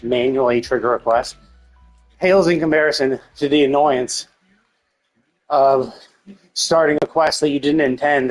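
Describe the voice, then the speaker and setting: A man's voice: mid-range voice, low-quality recording. A man, live streaming casual game commentary in an informal, profane, explanatory and opinionated way.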